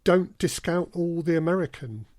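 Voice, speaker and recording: A man speaking as a podcast guest, explaining things in a measured way with hesitant repetitions. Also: a little high, lively intonation, darkish tone, no room sound, studio quality. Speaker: guest, audio drama producer. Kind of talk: casual podcast interview.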